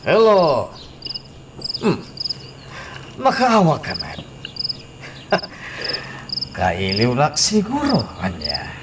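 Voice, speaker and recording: A man acting an unhurried, probing character in a radio drama, with a drawn-out delivery. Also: a little high, huge pitch swings, heavy background noise, exaggerated voice. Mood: sly. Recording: voice under loud music.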